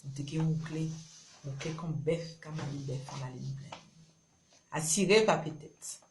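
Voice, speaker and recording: A woman's voice: low-pitched, steady tone, measured pace, a little mellow, slight background noise. A woman from Guadeloupe with a Creole accent, performing spoken-word love poetry in short, rhythmic, incantatory phrases. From Marie-Galante, Guadeloupe. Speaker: poet.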